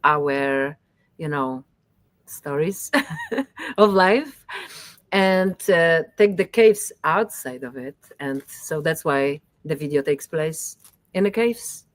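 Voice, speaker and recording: Low voiced polish-native lady, talks in fits and starts, lots of ums, dry close mic. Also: expressive, no echo. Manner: halting, many fillers, laughs often. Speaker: musician guest. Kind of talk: casual interview talk.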